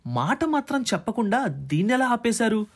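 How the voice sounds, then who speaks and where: A man's voice: very high-pitched, sing-song, normal speed, pitched above the performer's own voice, heavily acted. A man voicing an agitated, bossy magazine manager in an audiobook, urgent and exclamatory. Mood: alarmed, angry. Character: agitated, demanding male magazine circulation manager.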